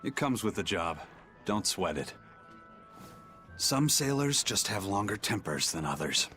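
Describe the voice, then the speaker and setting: Cool, low-voiced pirate. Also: male voice, very animated, measured pace, bright, forceful tone, faint music behind, full character voice. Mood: dry, easygoing. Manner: laid-back, assured. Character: cool, worldly male pirate in a fantasy game. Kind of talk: dramatic game dialogue.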